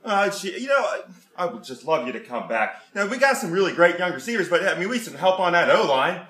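A brash middle-aged football fan, as a man plays him for a comedy sketch. He gushes and rambles in a high, bright voice, scattering "oh jeez" asides. The sound is roomy and echoey. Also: big pitch swings, fast. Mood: gushing fan. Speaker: sketch interviewer.

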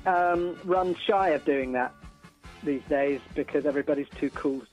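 A man's voice: on the high side, moderate pace, darkish tone, soft background music, rough recording. A man chatting casually as a band frontman in a radio interview, hesitantly repeating words as he starts his phrases.

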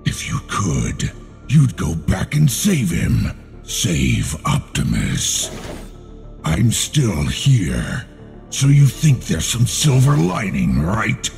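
Slow, gravelly robot villain. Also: male voice, fairly low pitch, wildly swooping pitch, darkish tone, faint music behind, full character voice. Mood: bitter, sardonic. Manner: slow, theatrical, sneering. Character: corrupted villainous robot warlord, taunting and bitter. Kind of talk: dramatic animated-film acting.

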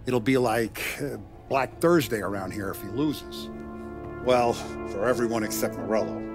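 An actor playing a calculating mob boss in a video game, speaking in a measured, businesslike, authoritative way. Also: male voice, medium pitch, music in the background, close to an everyday voice. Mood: grave, scheming. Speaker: crime boss. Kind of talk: cinematic video game dialogue.

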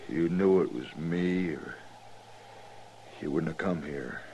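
A weary, cornered outlaw. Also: male voice, low-pitched, slow pace, vocal fry, mellow, dull audio, exaggerated voice. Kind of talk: 1950s radio drama acting. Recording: hissy 1950s radio recording.

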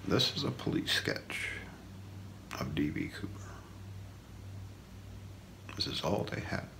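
A middle-aged man narrates true crime for ASMR in a very low voice full of heavy creak. He speaks slowly and deliberately, hushed and often close to a whisper, recorded close up in a small room. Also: very animated, low-quality recording. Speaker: ASMR role-play narrator. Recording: faint background noise.

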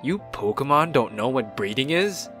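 A man playing a dry, reserved young man in a cartoon comic dub, with a terse, deadpan way of talking. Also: medium pitch, normal speed, over music, lightly acted. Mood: calm, curious. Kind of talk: animated comic dub acting.

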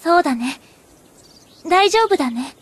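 A woman playing a gentle, quiet teenage girl in an anime game, speaking in a reserved, sincere way. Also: very high-pitched, animated, unhurried, no room sound. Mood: quiet, subdued. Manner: soft-spoken, reserved, sincere.

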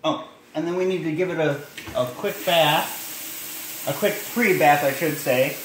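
A man's voice: fairly high pitch, a little slow, fairly bright tone, slight background noise. Young man rambling through a kitchen demo. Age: young adult. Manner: rambling, self-correcting, chatty asides. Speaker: cooking vlogger. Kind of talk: casual cooking demo. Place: home kitchen, indoors. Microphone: handheld phone camera. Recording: echoey kitchen with faint clatter.

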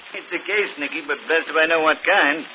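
An actor playing a brisk, jovial, blustery man in a 1940s radio detective mystery. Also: on the high side, lightly acted. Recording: muffled, faintly noisy old radio broadcast.

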